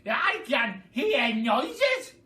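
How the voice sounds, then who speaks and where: Man putting on a shrill, screeching bossy old grandma. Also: very animated, very slow, reverberant, exaggerated voice. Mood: suspicious, scolding. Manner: shouting, demanding. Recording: far from the mic, noisy background.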